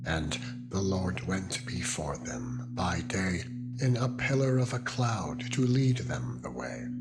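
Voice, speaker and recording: A man reading scripture as a solemn, dramatized narration, with deliberate, weighty phrasing. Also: fairly low pitch. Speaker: Bible narrator. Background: music.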